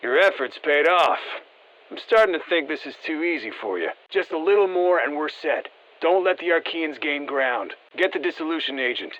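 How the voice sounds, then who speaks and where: A seasoned special-forces operator from a video game, giving mission guidance in terse, matter-of-fact phrases. He sounds steady and encouraging, with a slightly dull edge to the audio. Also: fairly high pitch, moderate pace. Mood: focused, encouraging. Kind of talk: scripted video game voice lines.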